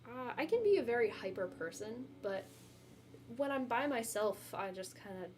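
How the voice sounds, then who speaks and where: A woman's voice: on the high side, animated, moderate pace, a little hiss or hum. A young woman streamer talking casually with her viewers, rambling and self-deprecating, with lots of fillers. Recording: music playing behind.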